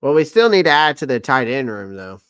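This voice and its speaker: A man's voice: mid-range voice, big pitch swings, brisk, a bit bright, smooth. A man in his thirties hosting a casual sports chat, rambling and correcting himself, laughing often. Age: 30s. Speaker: sports podcast host. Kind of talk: casual live-stream chat.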